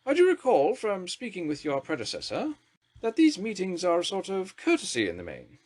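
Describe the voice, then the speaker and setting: Brusque older stateswoman, voiced by a male reader, drawling and dismissive, high and lively. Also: brisk, heavily acted. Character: brusque imperious older woman prime minister.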